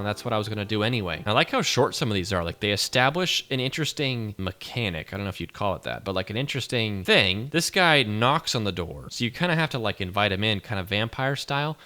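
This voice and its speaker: A man's voice: fairly low pitch, sharp tone. A man hosting a reaction video, giving casual commentary in a wry, colloquial way. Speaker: host, reaction video creator.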